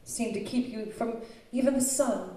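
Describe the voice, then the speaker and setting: An actress playing a devoted, sweetly earnest young fiancée in a theatrical old-time radio melodrama style. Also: female voice, on the high side, moderate pace, warm tone, natural voice. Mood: adoring. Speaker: actor in a live radio drama. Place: live stage in a community hall. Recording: echoey hall, distant mic.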